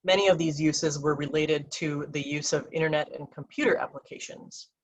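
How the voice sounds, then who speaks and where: A researcher giving an academic slide presentation about a case study, reading carefully in a measured way with occasional restarts and ums. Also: medium pitch, steady tone, low-quality recording.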